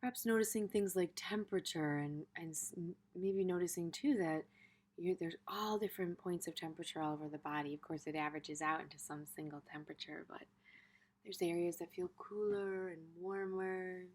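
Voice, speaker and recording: A woman teaching a gentle guided meditation in an informal, tentative way, pausing in the middle of her phrases. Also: lowish voice.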